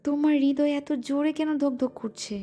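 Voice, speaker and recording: A woman plays a coy young wife in a romantic audio drama, scolding playfully in a very high voice. Her tone is warm and mellow, not bright. Soft music runs beneath her. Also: steady tone, brisk, lightly acted. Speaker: voice actress. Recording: music bed underneath.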